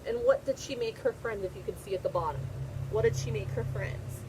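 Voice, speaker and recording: A woman's voice: mid-range voice, brisk, background noise. A woman teacher reading a picture book aloud to young children, repeating phrases and checking in with simple questions.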